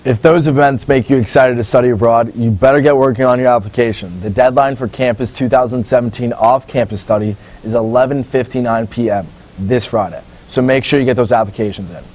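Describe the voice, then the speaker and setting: A man with a medium-pitched voice co-anchors a student newscast. He delivers short scripted items in a presenter's manner. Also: a little fast, darkish tone. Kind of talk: scripted news reading.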